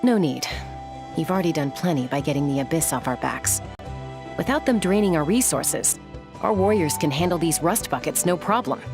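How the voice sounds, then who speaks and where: Low-voiced, confident young warrior. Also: female voice, expressive, moderate pace. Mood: cool, confident. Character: capable young woman warrior, confident, loyal protector. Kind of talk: video game voice acting. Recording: music behind the voice.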